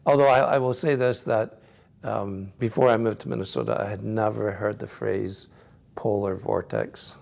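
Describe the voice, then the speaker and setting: A middle-aged Scottish man, a priest, answering interview questions in a gentle, reflective way with frequent soft laughs. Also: fairly low pitch, fairly even intonation, normal speed, creaky. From Scotland.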